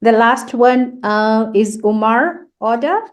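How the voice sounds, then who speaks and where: A woman's voice: a little high, slow. A woman with a Kenyan accent moderating a webinar, reading panelist introductions with frequent hesitations over a video call.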